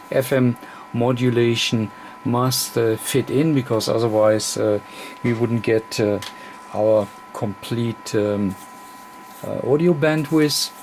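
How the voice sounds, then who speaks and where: A man giving an unscripted technical demonstration as a radio technician, speaking in a halting, fragmented way with very frequent hesitations. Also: mid-range voice. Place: indoor electronics test bench. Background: radio receiver noise.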